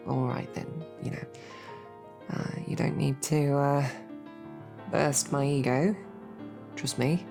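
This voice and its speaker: A woman playing a lovestruck royal knight in a romantic fantasy audio roleplay, sweetly awkward, halting and playfully snarky. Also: extremely low pitch, gravelly, exaggerated voice. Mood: playful, self-deprecating. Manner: halting, flustered, rambling.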